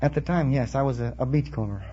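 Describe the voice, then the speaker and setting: A man's voice: mid-range voice, fast talker. A man answering as a quiz show contestant, speaking hesitantly in a wry, bookish and wordy way on an old broadcast recording. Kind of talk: unscripted interview answers. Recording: muffled, noisy 1950s radio broadcast.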